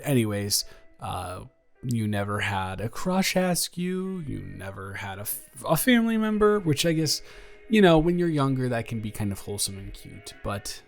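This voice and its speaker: A man playing a nervous, earnest male best friend confessing a crush in a halting, rambling, improvised audio roleplay. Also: fairly low pitch. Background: music.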